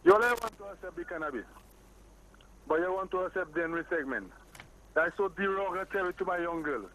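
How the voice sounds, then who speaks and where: High-pitched Saint Lucian male caller. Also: big pitch swings, a little fast, a bit bright. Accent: Saint Lucian Caribbean. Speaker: caller to a live show. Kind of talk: casual patois-flavoured talk. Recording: slightly noisy phone call.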